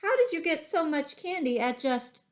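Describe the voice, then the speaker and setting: A woman's voice: high-pitched, animated, moderate pace, pitched above the performer's own voice. A woman voicing a bold, curious young boy out trick-or-treating in a children's storybook. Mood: amazed.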